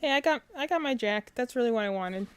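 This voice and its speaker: Quieter young woman commenting on gameplay. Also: a little high, animated, fast talker, smooth. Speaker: streamer. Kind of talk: casual chat while gaming.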